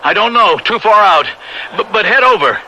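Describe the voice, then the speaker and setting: A man playing an urgent beach patrolman in a radio drama, calling in with halting, clipped radio talk. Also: high-pitched, lively intonation, bright, forceful tone, a little hiss or hum, full character voice, rough recording. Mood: concerned. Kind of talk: 1970s radio drama acting. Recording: old radio drama with music.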